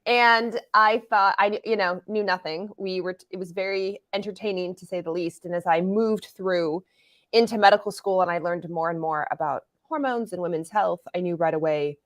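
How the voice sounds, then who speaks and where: A woman in her forties, a guest expert on an interview show, explaining things in a lively way with casual analogies. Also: fairly low pitch, normal speed. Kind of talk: interview answers.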